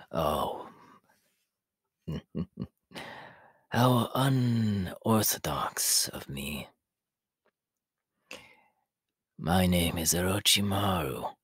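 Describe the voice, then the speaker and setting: A man voicing a sinister, power-hungry immortal body-stealer in an ASMR roleplay, sly and menacingly polite, with a raspy, half-whispered hiss. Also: deep voice, dry recording, clean studio sound, heavily acted. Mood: sly, courteous. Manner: slow, drawn-out, menacingly polite. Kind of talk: ASMR character roleplay monologue.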